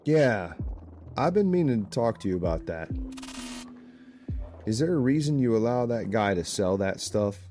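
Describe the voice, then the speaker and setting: A man's voice: fairly low pitch, animated, moderate pace, pauses often, warm tone, close to an everyday voice. A man playing an anxious, claustrophobic small-town deputy in an audio drama, plainspoken and grumbling. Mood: skeptical, grumbling. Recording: music under the voice.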